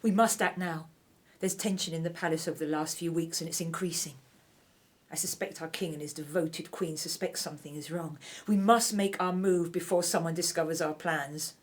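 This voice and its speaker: Radio drama villainess , female actor: ruthless royal wife, lowish voice, haughty, cutting delivery. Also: steady tone, moderate pace, close to an everyday voice. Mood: conspiratorial. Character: ruthless scheming vengeful royal wife of ancient Egypt.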